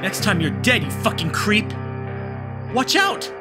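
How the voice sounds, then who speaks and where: A man's voice: sits high, huge pitch swings, measured pace, voice pushed up, exaggerated voice. Man voicing an aggressive raccoon street thug over music. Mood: angry, urgent. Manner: threatening, shouted insults. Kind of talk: visual novel dialogue read aloud.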